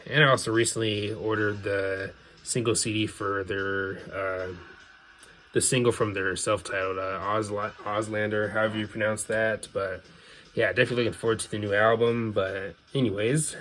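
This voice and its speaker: Low-voiced man talking casually over background noise. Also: level tone, normal speed, a bit bright. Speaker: video host showing a music CD collection. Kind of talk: casual unscripted collection commentary.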